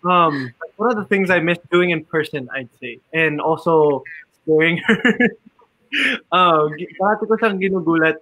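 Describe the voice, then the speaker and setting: A man's voice: on the high side, moderate pace, pushed and bright, no echo, poor audio. A man in his twenties with a Filipino accent, a guest singer chatting easily on the radio and slipping between English and Tagalog. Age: 20s. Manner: easygoing, mixes English with Tagalog, many fillers. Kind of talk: casual radio interview.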